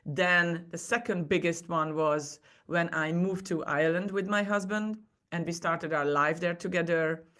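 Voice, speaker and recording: A woman's voice: on the low side, fairly even intonation, a little slow, hardly any pauses, a bit bright. A woman who speaks English as a second language, telling personal stories as a guest on a podcast. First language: not English. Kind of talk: personal storytelling in a conversation.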